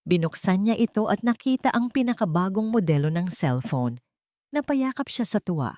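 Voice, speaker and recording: A woman's voice: mid-range voice, sing-song, normal speed, warm tone, dry recording, clean studio sound. A woman with a Filipino accent narrating a scripted story in a dramatic storytelling style.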